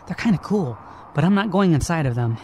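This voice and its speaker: A man's voice: a little high, a little fast. Young hedgehog hero, male actor: swooping intonation, slangy, cocky, defensive; radio drama, faint noise. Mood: musing. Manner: casual, slangy, animated. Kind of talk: amateur radio drama acting.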